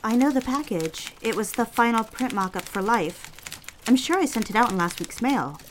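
A woman's voice: fairly high pitch, animated, normal speed, a little hiss or hum, lightly acted. A woman playing a polite, dutiful secretary in an audio drama, courteous and accommodating. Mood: polite, professional. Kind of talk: scripted audio drama dialogue.

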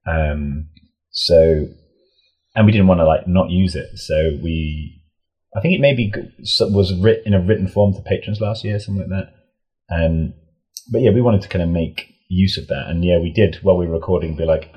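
A man chatting casually as an interview guest, in a rambling, halting way that often doubles back on itself. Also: low-pitched, darkish tone, husky, poor audio. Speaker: audio drama creator, interview guest.

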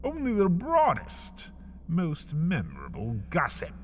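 Male storyteller, slightly high voice, dry humor, unhurried, comic second-person narration. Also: huge pitch swings, in a large hall, a little hiss or hum. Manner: slow, wry second-person storytelling with big swings in pitch. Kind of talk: scripted comedic narration.

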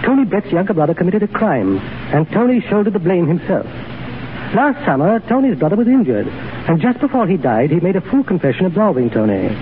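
Animated, high-pitched lord. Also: male voice, fairly quick. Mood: excited. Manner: fussy, exclamatory, aristocratic. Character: excitable, well-bred English lord, a middle-aged uncle. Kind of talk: 1930s radio acting. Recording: noisy, tinny 1930s radio broadcast.

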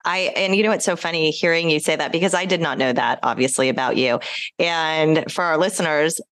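A woman's voice: deep voice, a little fast, a bit bright, dry recording. A woman hosting a career podcast, talking enthusiastically with her guest. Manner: enthusiastic, talkative. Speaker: podcast host. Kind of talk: podcast interview.

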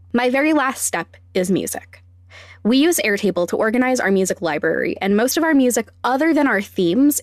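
A woman's voice: medium pitch, no room sound. Wry woman podcast host, close dry recording. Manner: wry, self-deprecating asides. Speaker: podcast host and sound designer. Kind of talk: scripted explanatory narration.

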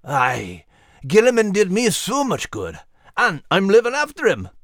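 Male Scottish terrier, cartoon podcast character, gruff, tender, teasing, very animated pitch, dry studio sound. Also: a little slow, no room sound. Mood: wistful. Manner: folksy, warm dialect talk. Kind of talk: scripted character banter.

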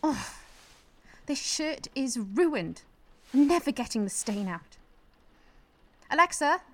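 A woman's voice: sits high, expressive, takes it slowly. A woman acting in a radio play as a bitter, scheming politician's wife, sardonic, exasperated and foul-mouthed.

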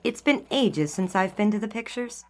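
An American woman playing a brisk, unimpressed 1920s newspaper office worker with a dry, sarcastic manner on the telephone. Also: medium pitch, animated, a little mellow, natural voice. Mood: breezy. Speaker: actress in an audio drama.

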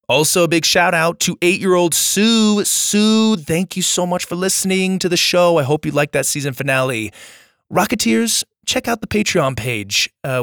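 A man hosting a children's story podcast, hoarse from losing his voice, chatting in an upbeat, friendly way to young listeners. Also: on the high side, a bit bright, no room sound, studio quality. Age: adult with young children. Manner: upbeat and friendly, addressing kids directly. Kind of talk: casual outro chat to young listeners.

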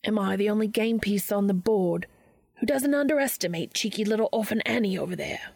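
A woman's voice: medium pitch, brisk, vocal fry, darkish tone, dry recording, studio quality. A woman voicing a sardonic, mischievous Englishman with an English accent and a theatrical, condescending drawl, in an audiobook story. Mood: exasperated, mocking.

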